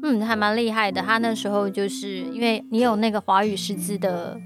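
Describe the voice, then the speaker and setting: A woman's voice: medium pitch, fairly even intonation, brisk. A woman with a Taiwanese Mandarin accent hosting a podcast, interviewing in a casual, conversational way. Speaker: podcast host. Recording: voice over background music. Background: background music.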